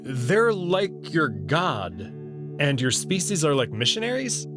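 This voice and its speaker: A man reads a first-person sci-fi story in a slow, drawn-out way, wry and curious in tone. His voice rises and falls with a lively range. Music plays clearly behind him the whole time. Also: fairly high pitch. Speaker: narrator reading a visual novel aloud.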